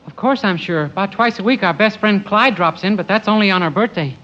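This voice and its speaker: An actor playing a naive, timid, devoted husband in meek 1940s radio comedy style. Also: male voice, high-pitched, brisk, muffled recording, close to an everyday voice. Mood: trusting. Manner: meek, innocent. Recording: noisy old radio recording.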